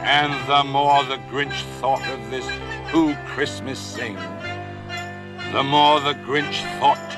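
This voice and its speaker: A man narrating a rhyming storybook in an expressive, sing-song way. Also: medium pitch, slow pace, music in the background. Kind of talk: storybook reading in rhyme.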